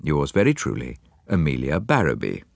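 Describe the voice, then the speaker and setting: A man's voice: a bass voice, huge pitch swings, moderate pace, gravelly, no room sound, clean studio sound, voice pushed down, full character voice. A man reading the letter of an anxious elderly woman, with a formal and cautious tone.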